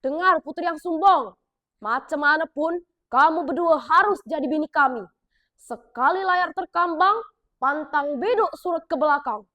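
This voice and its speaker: Two cocky young village men trade loud, quick banter with a South Sumatran Malay accent, as a female storyteller acts them out. The voice stays high and boisterous. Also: animated. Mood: defiant. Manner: brash, boisterous, fast banter.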